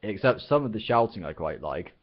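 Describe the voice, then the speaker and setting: A man voicing a hulking young alien guard who bellows slogans and talks slowly and dully. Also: fairly low pitch, big pitch swings. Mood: slow, baffled. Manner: bellowing slogans, slow and dull-witted in talk.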